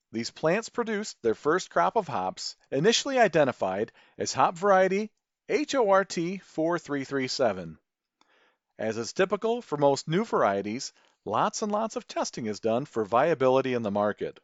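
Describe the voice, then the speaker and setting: A man's voice: a little high, dry recording, muffled recording. A man with a Midwestern American accent reads scripted narration as the host of a podcast, enunciating carefully with folksy turns of phrase.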